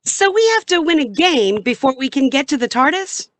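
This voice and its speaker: A woman's voice: sits high, animated, normal speed, dry recording. An actress playing a guileless, cautious young female companion in an audio drama. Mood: wary.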